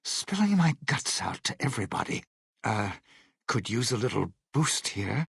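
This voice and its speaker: Casting: a world-weary, sardonic showman in a video game; husky male voice, dry close sound, old-time slang and sly patter. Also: on the low side, big pitch swings, moderate pace, fairly bright tone, no room sound, full character voice. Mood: wry, wary. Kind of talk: video game companion voice lines.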